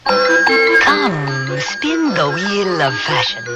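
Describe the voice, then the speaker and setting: A woman playing a mysterious fortune-teller pitchwoman in a theatrical radio advertisement. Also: sing-song, drawn-out words, over loud music, full character voice. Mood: beckoning.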